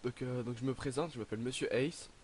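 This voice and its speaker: A man's voice: mid-range voice, steady tone, fast talker, darkish tone, a little hiss or hum. Hesitant teenage boy, slightly stuffy. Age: teens. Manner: hesitant, lots of "donc, euh" fillers. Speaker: gaming YouTuber. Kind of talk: casual game commentary. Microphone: headset.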